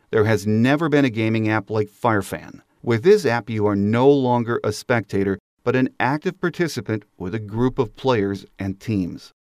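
A man's voice: on the low side, dry recording, clean studio sound. A man hosting a sports podcast, delivering scripted commentary and announcements.

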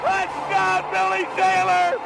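Gravelly, very high-pitched male football announcer. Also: monotone, slow, a loud crowd, very noisy. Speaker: play-by-play announcer. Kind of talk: excited football radio commentary. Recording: archive broadcast buried under loud music.

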